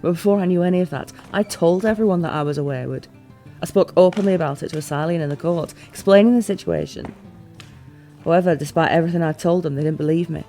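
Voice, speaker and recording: A playful, teasing fantasy ruler voiced by a woman in an immersive audio roleplay. Her voice sits fairly low for a woman and her phrasing is formal yet expressive, with faint music playing behind her. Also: fast talker, close to an everyday voice. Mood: reflective, candid.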